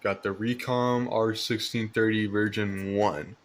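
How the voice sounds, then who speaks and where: A man's voice: on the low side, fairly even intonation, unhurried, slight background noise. A young man giving a casual show-and-tell vlog about his collection, hesitant and rambling with repeated phrases. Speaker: collector presenting weather radios. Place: indoors at home at night.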